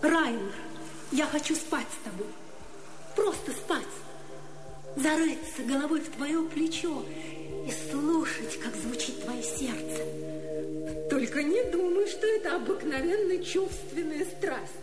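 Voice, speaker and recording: Passionate wounded lady poet, radio play, slow intimate lines, echoey with loud music behind. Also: high-pitched, animated. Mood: yearning, intimate. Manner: theatrical, intimate, declamatory. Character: passionate, wounded woman poet writing love letters. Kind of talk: poetic radio play monologue. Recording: echoing voice under prominent music.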